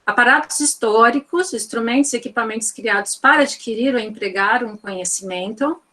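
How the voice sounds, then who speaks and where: Brazilian woman from the northeast, kinda high voice, giving a lecture on a video call, chatty and loose, lots of "né". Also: a little fast, bright, forceful tone. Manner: conversational and thinking aloud, with fillers like "né" and "então". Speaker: guest lecturer in physics education. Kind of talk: online lecture with slides.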